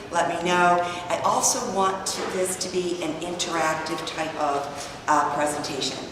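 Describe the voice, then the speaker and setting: A hoarse woman presenting a business workshop in an open, inviting way, sometimes trailing off and restarting. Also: fairly low pitch. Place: event hall in an arts building. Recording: echoey hall, mic far off, background noise.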